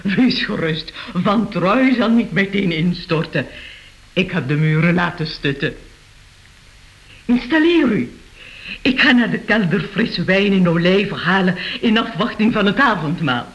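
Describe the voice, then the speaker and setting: A woman's voice: mid-range voice, expressive, measured pace. An actress playing a charming yet unsettling seventy-five-year-old castle lady in a radio play, theatrical and coquettish. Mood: gracious, playful. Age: older. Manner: theatrical, coquettish hostess, refers to herself in third person. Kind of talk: Dutch-language radio play acting. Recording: dull, muffled radio play recording.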